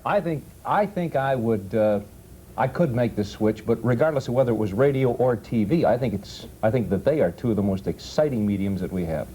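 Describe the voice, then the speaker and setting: Mellow-voiced man on a panel. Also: mid-range voice, animated, brisk, rough recording. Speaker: radio broadcaster, talk show guest. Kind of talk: casual panel conversation. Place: TV studio. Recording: old TV tape, faint noise.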